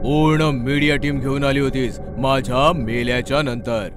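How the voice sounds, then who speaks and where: A man's voice: medium pitch, steady tone, normal speed, natural voice. Man acting a bitter, menacing male ghost over music. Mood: brooding, resentful. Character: bitter, vengeful ghost of a dead male actor. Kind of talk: dramatised horror story acting. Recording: loud music under the voice.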